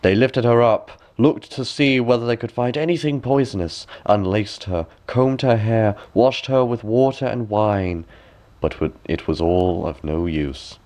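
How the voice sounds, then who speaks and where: A man's voice: fairly low pitch, measured pace, darkish tone. A man reading a fairy tale as a bedtime story, with dramatic, careful phrasing.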